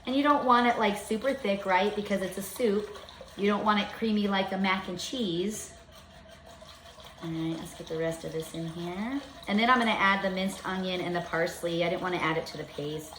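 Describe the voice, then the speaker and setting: A woman's voice: mid-range voice, moderate pace, a bit bright, roomy sound, a little hiss or hum. A woman in her fifties giving a chatty, step-by-step home cooking demonstration in her kitchen. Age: 50s.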